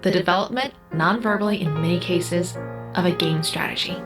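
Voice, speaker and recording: Woman as a low-voiced, precise female artificial intelligence. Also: a little fast, over music, lightly acted. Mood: clinical, resigned. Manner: formal, exact, unemotional. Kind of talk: scripted audio drama acting.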